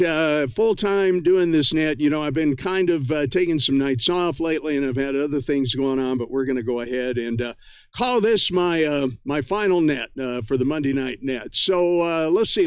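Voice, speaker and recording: A man casually hosting a live ham radio net, friendly and effusively thankful, with halting, filler-heavy speech. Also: on the high side, smooth, no echo.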